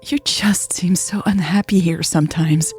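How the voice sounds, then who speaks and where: A woman reader voicing a guilty, tearful woman who pleads with her lover softly and hesitantly in a romantic audio story. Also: fairly low pitch, steady tone, measured pace, soft background music. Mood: desperate. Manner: soft, hesitant, breathy confessing. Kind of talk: audio fiction dialogue reading.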